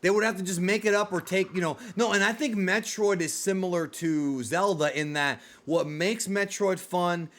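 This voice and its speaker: A man's voice: sits high, normal speed, few pauses, fairly bright tone. A man hosting a casual, opinionated discussion, speaking emphatically and repeating himself to drive points home. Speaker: host of a video game and film talk show.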